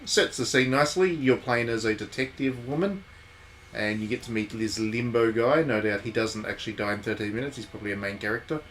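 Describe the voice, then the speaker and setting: A man with a mid-pitched voice streams a game, commenting casually as he goes. He rambles and thinks aloud in an offhand way. Some steady noise sits behind his voice. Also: a little fast. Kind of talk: casual live commentary on a visual novel playthrough.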